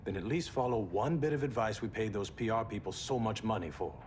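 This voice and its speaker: An actor playing a pragmatic, frank male business advisor in a game cutscene, calm and reasoning. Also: on the low side, brisk, slight background noise, lightly acted. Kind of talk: video game cutscene acting.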